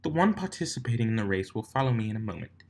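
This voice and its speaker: A man's voice: on the low side, big pitch swings, fast talker, a little mellow. A man voicing a strict, formal female pony announcing competition rules in a stern, clipped, dryly menacing manner for a fan story reading.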